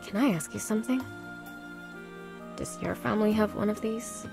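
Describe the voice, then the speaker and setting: Woman acting a gravelly, tough teenage girl. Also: mid-range voice, normal speed, over music, natural voice. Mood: wry, casual. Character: tough, guarded teenage girl with a kind streak. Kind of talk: visual novel voice acting.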